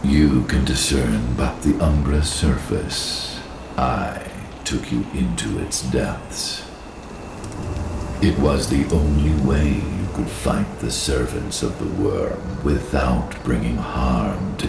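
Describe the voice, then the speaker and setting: An actor playing an ancient, solemn guardian spirit of the forest in a video game, gravelly and archaic in speech. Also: male voice, noisy background, full character voice. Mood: grave explaining. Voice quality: very deep, gravelly. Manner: slow, solemn, archaic pronouncements. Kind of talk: video game cutscene acting. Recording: reverberant, distant, under loud music.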